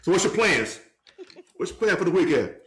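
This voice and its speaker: Upbeat guy with a fairly high bouncy voice, joking around on a podcast. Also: sing-song, brisk, fairly bright tone. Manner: playful, upbeat, laughs easily. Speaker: podcast co-host. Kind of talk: casual chat.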